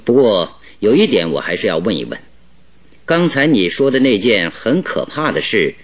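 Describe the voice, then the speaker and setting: A probing radio detective, deep and deliberate, really varied intonation. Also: male voice, big pitch swings. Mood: measured, probing. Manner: deliberate, inquisitive, theatrical. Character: probing, methodical male detective questioning a client. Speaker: radio drama actor. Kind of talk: old Chinese radio drama acting.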